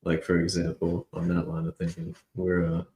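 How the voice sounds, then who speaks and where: A man chatting casually as a podcast guest, halting and slangy. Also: low voice, monotone, a little mellow. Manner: halting, colloquial. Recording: roomy echo, faint background noise.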